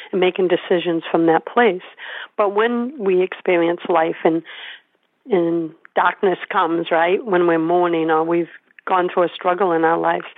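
A woman's voice: lowish voice, no echo. A woman host interviewing an author, enthusiastic and affirming. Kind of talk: interview conversation. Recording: dry phone-like audio.

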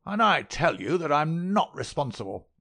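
A man voicing a querulous, miserly little old lord with a sharp crackling voice and a jerky, intense manner. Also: a little high, big pitch swings, normal speed, voice pushed up, heavily acted. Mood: indignant, stingy.

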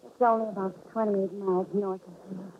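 A woman's voice: mid-range voice, level tone, brisk, slight background noise, natural voice. An American actress playing a vulnerable, hesitant young woman in a 1940s radio drama, on a tinny old recording. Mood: subdued.

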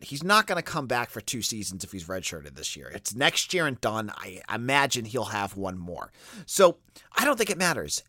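A man with a Boston accent talks sports on his podcast, his pitch swinging up and down as he thinks aloud. His voice is bright and forward. It sits close and dry on the mic, with no room behind it. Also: no echo. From Boston area. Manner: animated, thinking aloud with restarts. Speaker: podcast host. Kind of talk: casual sports podcast talk.

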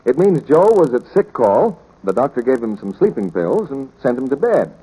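A man's voice: mid-range voice, sing-song, normal speed, husky, narrow, tinny audio. A male radio announcer delivering scripted 1940s announcements and sales patter. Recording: old 1940s radio broadcast.

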